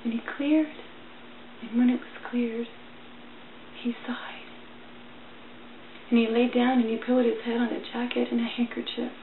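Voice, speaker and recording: Woman doing a dramatic emotional story reading, high voice, thin phone-like sound. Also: flat delivery, moderate pace, long pauses. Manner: theatrical, emotional delivery. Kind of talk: dramatic reading of a religious allegory. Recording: tinny, band-limited, echoey, faint noise.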